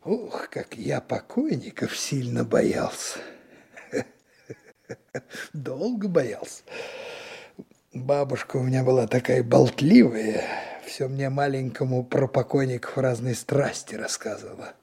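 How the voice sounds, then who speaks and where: An actor playing a gruff, kindly senior detective mentoring young trainees in a Soviet radio drama, speaking in a folksy, unhurried way. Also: male voice, medium pitch, warm tone, full character voice. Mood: reminiscing.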